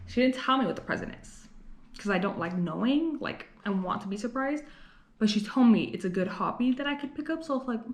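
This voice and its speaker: A woman's voice: fairly high pitch, echoey room. A young woman from Los Angeles talking casually to her camera in a rambling, chatty vlog, full of fillers and little laughs. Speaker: vlogger. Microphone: camera mic.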